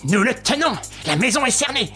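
An audiobook narrator voicing a stubborn, excitable veteran police inspector who talks in agitated, insistent bursts. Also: mid-range voice, moderate pace, faint music behind, pitched above the performer's own voice. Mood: triumphant.

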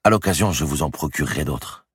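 A man's voice: rumbling low, expressive, a little fast, a bit bright, slightly rough, no room sound, clean studio sound, voice pushed up, full character voice. A man doing an impetuous young Italian banker. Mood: eager. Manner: impetuous, exclaiming. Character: eager young Italian banker, bold and generous.

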